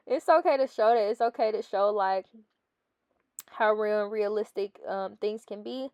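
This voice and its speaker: A woman's voice: medium pitch, a little slow, darkish tone, clear, resonant tone, no echo, highs cut off. A young woman with a stuffy nose, chatting casually as a fitness vlogger over her workout footage and often calling her viewers "guys.".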